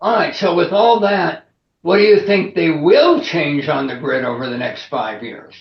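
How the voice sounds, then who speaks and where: A man's voice: on the high side, big pitch swings, a little slow. A man working as an interviewer, putting questions and comments in a conversational way, on a roomy, muffled recording. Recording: roomy echo, dull muffled sound.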